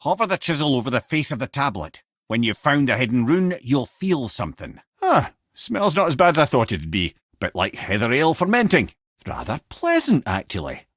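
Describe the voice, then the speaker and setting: An actor with a Scottish accent playing a clever, talkative older sage in a video game, a wry and eloquent storyteller and guide. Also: male voice, medium pitch, very animated, moderate pace, a bit bright, dry recording, studio quality. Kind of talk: in-game character dialogue and storytelling.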